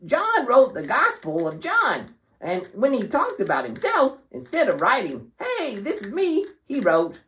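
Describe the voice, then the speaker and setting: A performer voicing a cheerful, excitable puppet host in a scripted children's Bible show, explaining things playfully. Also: mid-range voice, a little slow, hardly any pauses, slight background noise.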